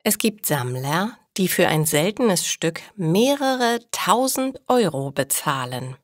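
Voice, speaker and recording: A woman reading scripted stories for language learners in short, deliberately separated sentences. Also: on the low side, sing-song, dry recording, studio quality. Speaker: narrator of German learning stories. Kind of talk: slow scripted story narration for language learners.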